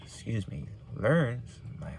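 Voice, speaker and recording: Young Hawaiian-accented man, gravelly low voice, easygoing rambling advice, faint hiss behind. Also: very animated, slow pace, darkish tone. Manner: rambling, repeats himself, many ums. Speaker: small business owner giving advice. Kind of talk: casual advice vlog.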